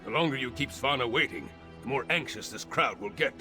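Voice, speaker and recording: A man's voice: medium pitch, animated, fairly quick, over music, natural voice. A man voicing a brusque impatient noble. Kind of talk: video game dialogue.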